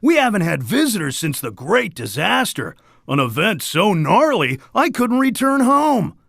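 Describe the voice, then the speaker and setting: Game's island tour guide: breezy, boastful surfer dude, gravelly edge, wildly animated delivery. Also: male voice, sits high, unhurried, dry recording, clean studio sound, heavily acted. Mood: breezy, welcoming. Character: laid-back, friendly cartoon beach bum island tour guide. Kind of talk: scripted game character dialogue.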